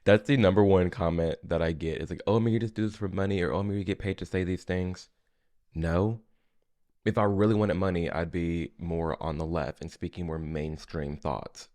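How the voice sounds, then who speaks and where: A young American man with a low, deep voice talks casually to his audience as a host. He delivers reaction commentary in a conversational, off-the-cuff style. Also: steady tone, moderate pace, darkish tone. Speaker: reaction video host, influencer.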